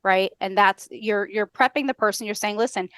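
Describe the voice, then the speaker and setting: A middle-aged American woman on a headset, explaining step by step as a podcast guest in a brisk, structured, instructional way. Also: mid-range voice, fairly bright tone, no room sound. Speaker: podcast guest, customer service trainer. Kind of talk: explanatory interview talk with acted-out call examples. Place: indoor room on a video call.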